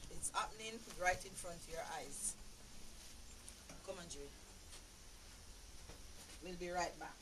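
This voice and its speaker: A Jamaican woman hosting a live cooking stream in a backyard, chatty and quick to laugh at herself. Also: fairly low pitch. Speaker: cooking show host. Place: outdoor backyard kitchen. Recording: distant mic, loud frying noise.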